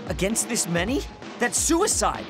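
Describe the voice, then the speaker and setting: An actor playing a proud, insecure young soldier in an anime video game, curt and defensive. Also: male voice, high-pitched, sing-song, measured pace. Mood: urgent, resolute. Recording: loud music under voice. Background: game soundtrack music.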